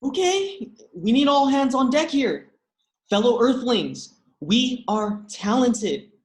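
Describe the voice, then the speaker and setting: A man's voice: very high-pitched, very animated, takes it slowly, exaggerated voice. A man playing an awkward, upbeat human team leader at a comedy script table read. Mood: rallying. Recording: roomy, echoey sound.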